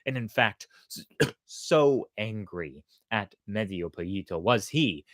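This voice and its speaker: A storyteller giving expressive, theatrical and deliberate narration of a folktale. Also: low-pitched, no echo, clean studio sound. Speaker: storyteller, host.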